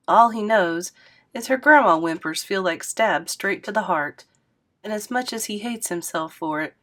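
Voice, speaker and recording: A woman reading a middle-grade novel aloud, narrating with expressive lifts for emphasis. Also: medium pitch. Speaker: read-aloud host.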